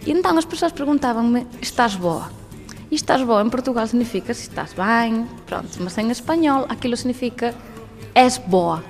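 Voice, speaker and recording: A woman in her twenties from Galicia with a Galician accent, speaking as a guest on a radio programme, lively and laughing often. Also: a little high, moderate pace, gravelly, faint music behind. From Galicia, Spain. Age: 20s. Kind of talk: radio testimony.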